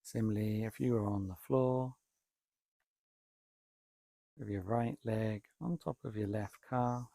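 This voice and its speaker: Slow, gravelly man guiding meditation. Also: on the low side, no room sound, studio quality. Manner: calm, deliberate, with long pauses between short phrases. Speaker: meditation teacher, Buddhist monk. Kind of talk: guided meditation instruction.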